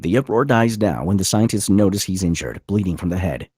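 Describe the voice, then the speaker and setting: A man reads screenplay stage directions in a low, rough-edged voice. His intonation moves around in an animated way as he narrates each scene. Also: brisk, no room sound, studio quality.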